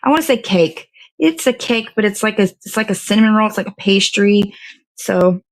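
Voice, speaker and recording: A woman with a mid-pitched voice hosts a casual morning live stream. She chats in a rambling, informal way and thinks aloud while she busies herself with a task. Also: lively intonation, moderate pace. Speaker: host of a morning show. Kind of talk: casual live chat while assembling a dessert.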